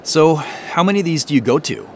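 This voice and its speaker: A man's voice: fairly high pitch, lively intonation, a little fast, a little hiss or hum. An actor playing a hesitant, skeptical man who asks questions in an understated way, in audio drama dialogue. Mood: puzzled, curious. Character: hesitant, skeptical man, a reluctant newcomer tagging along.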